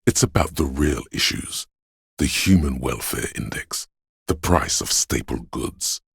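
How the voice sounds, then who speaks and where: Grave inner voice, very low, gravel-edged, compassionate, knowing, deliberate game narration, dry studio sound. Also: male voice, no room sound, heavily acted. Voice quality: very deep, gravelly, husky. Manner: measured, solemn, deliberate delivery.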